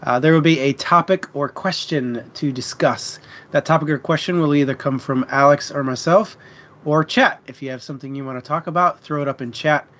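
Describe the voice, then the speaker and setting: A man's voice: on the high side, a little fast, fairly bright tone, lo-fi sound. A man co-hosting a book stream in a laid-back, slangy, chatty way. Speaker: co-host of a book discussion stream. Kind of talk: casual chat.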